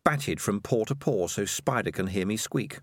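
Disdainful cat, voiced by a man, dry, sardonic, low voice, close dry sound. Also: animated, moderate pace, no room sound. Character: wry disdainful male cat.

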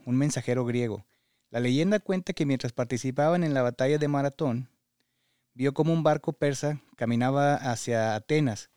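A man with a Mexican Spanish accent speaks as a podcast host in a conversational interview style. His voice sits at a medium pitch, and the sound is dry and close. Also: darkish tone, smooth, no room sound.

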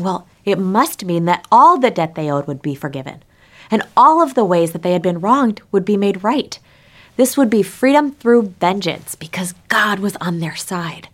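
Woman preaching a conversational sermon. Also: fairly low pitch. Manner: rhetorical questions, addresses listeners directly. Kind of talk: sermon, prepared but conversational delivery.

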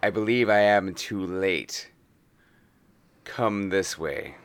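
An actor playing a stiff, droll manservant with a formal deadpan manner in a 1930s audio drama. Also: male voice, low-pitched, takes it slowly, creaky, smooth, full character voice. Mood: stiff, disdainful. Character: stiff, droll, disdainful manservant of a rich household.

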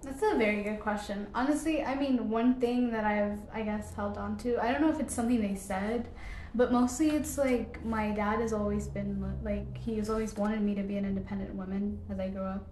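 A woman's voice: medium pitch, fairly even intonation, fairly quick, a little mellow, reverberant, a little hiss or hum, rough recording. A young woman from Ethiopia, a podcast guest, answering casually with many fillers and short laughs. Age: young adult.

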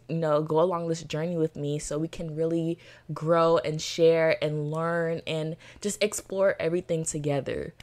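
A nineteen-year-old woman from Florida hosting her own podcast, talking in an enthusiastic, chatty way with lots of "you know" fillers. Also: low-pitched. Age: teens. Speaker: podcast host. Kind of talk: solo podcast monologue.

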